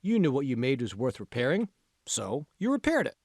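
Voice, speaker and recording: A man's voice: mid-range voice, very animated, brisk, vocal fry, a little mellow, no room sound, clean studio sound. A man voicing a confident, encouraging college friend in an audiobook, prodding and persuasive. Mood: insistent encouragement. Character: confident, encouraging young male college friend, insistent coach. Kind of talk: audiobook character dialogue.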